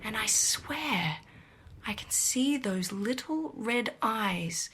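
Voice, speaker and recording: A woman acting a young, gutsy pilot who narrates her ordeal in an intense, breathy, suspenseful audio drama style. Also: a little high, animated, slow. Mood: subdued, reflective. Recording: loud vehicle-like engine noise behind.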